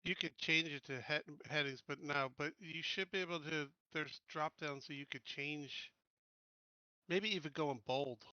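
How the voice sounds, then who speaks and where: A man's voice: fairly high pitch, moderate pace, sharp tone, smooth, no room sound, lo-fi sound. A man in his fifties chatting casually and bluntly as a player in a tabletop game. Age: 50s.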